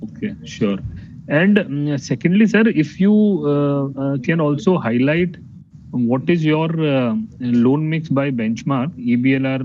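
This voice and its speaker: A man's voice: medium pitch, unhurried, darkish tone. An Indian man, a financial analyst, politely probing management with questions on an earnings call. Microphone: conference call line. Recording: dull noisy conference phone line.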